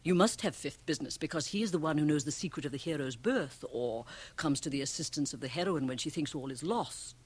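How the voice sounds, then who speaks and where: Shrewd woman, low husky voice, blunt and theatrical, radio play. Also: fairly quick, few pauses. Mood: explaining, knowing. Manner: emphatic, theatrical, probing. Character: shrewd, worldly, provocative Swiss woman, blunt and teasing. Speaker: radio drama actress. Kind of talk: radio drama acting.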